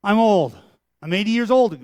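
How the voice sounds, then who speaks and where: Moses as an old man of eighty, reluctant and fretful, as a preacher puts him on in a sermon, his voice pushed up high and louder as he protests and pleads. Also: lively intonation, moderate pace, no echo. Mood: pleading.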